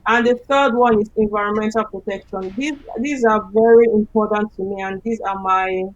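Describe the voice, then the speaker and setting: A woman answering as an election candidate on a panel, speaking haltingly with many fillers. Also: medium pitch, fairly even intonation, muffled recording.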